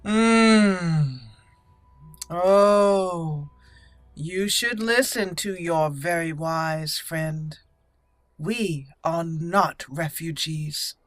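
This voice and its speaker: Slow, theatrical woman gamer in a roomy space. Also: lowish voice. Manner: giggly, theatrical, switches into in-character speech. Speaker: gameplay channel host. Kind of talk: roleplay game commentary. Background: faint game music.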